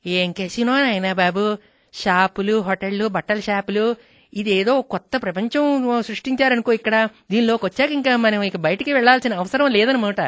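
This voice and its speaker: A woman's voice: mid-range voice, brisk, no room sound, studio quality, lightly acted. A woman playing a fretful, chatty elderly village mother in a radio comedy skit, speaking plaintively. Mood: wondering. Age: older. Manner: plaintive, calls listener "son" fondly.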